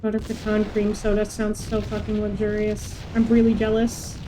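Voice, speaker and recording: A woman's voice: mid-range voice, completely flat delivery, moderate pace. A woman game streamer, a non-native English speaker, chatting casually and commenting as she plays. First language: not English. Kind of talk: casual livestream commentary and chat. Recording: faint background noise.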